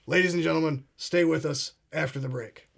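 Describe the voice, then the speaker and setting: A man playing a gravelly paranoid talk show host. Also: a little high, steady tone, fairly quick, a little hiss or hum. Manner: grandiose, ominous, addresses "ladies and gentlemen". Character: pompous paranoid male conspiracy show host. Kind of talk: comedic mock talk show.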